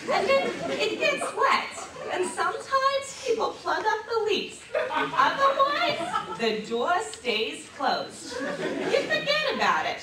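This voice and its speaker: An actress playing a reticent, embarrassed old lady reminiscing about her youth in a theatrical stage monologue, hesitant and confiding with dramatic pauses. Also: very high-pitched, sharp tone, full character voice. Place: live stage performance in a hall. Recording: distant mic in echoey room, noisy.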